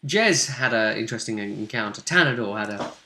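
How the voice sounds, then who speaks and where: Bright-voiced game master, male, echoey room. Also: lowish voice, expressive, brisk. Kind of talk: narrating and recapping a tabletop role-play session. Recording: roomy, echoey indoor recording.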